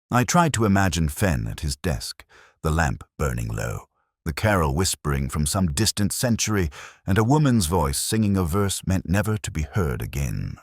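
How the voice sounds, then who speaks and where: Very deep, brooding detective narrator, acted by a man. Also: no echo, clean studio sound. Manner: brooding, measured, literary. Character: melancholy, reflective English police detective narrating his own case. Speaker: narrator of a detective audio drama. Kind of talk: scripted first-person noir-like mystery narration.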